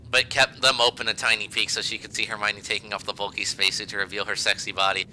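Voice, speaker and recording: A man's voice: fairly low pitch, sharp tone, clear, resonant tone, poor audio. A man reading fan fiction aloud as a podcast host, amused and hamming up the emphasis. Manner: breaks into laughter while reading, hammy emphasis.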